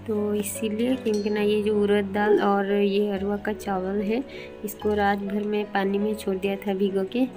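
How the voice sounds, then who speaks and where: A young woman from India narrates a home vlog in a casual way. She talks slowly at a middling pitch, with music playing clearly behind her. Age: young adult. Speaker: daily-life vlogger.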